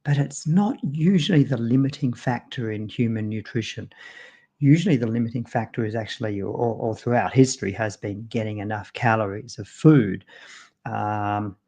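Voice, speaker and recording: An Australian man talks through a nutrition topic on a home live stream, with a gravelly, mellow low edge to his voice. He is casual and loose, scattering ums and restarts as he thinks aloud. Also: normal speed. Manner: loose, full of ums and you-knows, restarts. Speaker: co-host presenting on nutrition.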